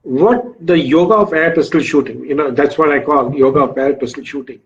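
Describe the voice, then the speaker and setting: A man's voice: on the high side, brisk, muffled recording, a little hiss or hum. A man speaking as a coach and interviewer, stressing and repeating his key words with emphasis. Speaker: shooting coach, host.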